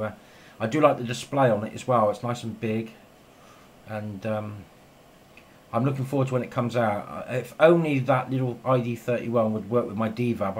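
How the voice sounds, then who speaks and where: Male radio hobbyist, mid-pitched, chatty and keen, rambling casually, recorded with audible background noise. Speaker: amateur radio operator, video maker. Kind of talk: casual two-way radio chat. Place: home radio shack. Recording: clear background noise in room.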